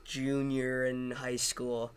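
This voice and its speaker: Smooth-voiced easygoing man in his twenties. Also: medium pitch, completely flat delivery, slow pace. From Illinois, US. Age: 20s. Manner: easygoing, short replies with fillers. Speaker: guest musician. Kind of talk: casual interview answers.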